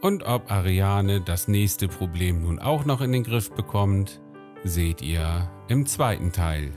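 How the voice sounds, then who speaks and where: A man's voice: low voice, animated, slow, darkish tone. A man delivering scripted voice-over narration for an online video series, addressing the viewers. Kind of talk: scripted narration, channel outro. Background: guitar music.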